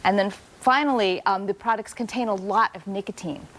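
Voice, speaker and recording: A woman doctor, a guest expert on a TV segment, explaining things in a calm, clear, explanatory manner. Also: medium pitch, a little fast. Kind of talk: expert interview.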